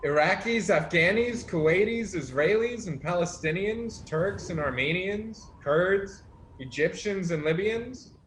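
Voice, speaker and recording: A man acting as an amazed, excitable disciple in a church dramatic reading, marvelling with emphasis. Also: sits high, a little slow. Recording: echoey room with background noise.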